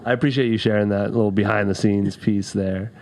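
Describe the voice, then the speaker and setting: A man's voice: on the low side, fairly even intonation, brisk, a little mellow. A man hosting a podcast interview, asking curious, rambling questions in an easygoing way. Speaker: podcast host.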